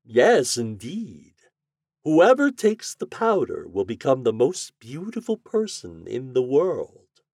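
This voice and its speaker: A man voicing a fretful, bargaining storybook wizard with a drawn-out, emphatic delivery. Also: medium pitch, huge pitch swings, creaky, darkish tone, no echo, clean studio sound, voice pushed up, heavily acted. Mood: cautious bargaining.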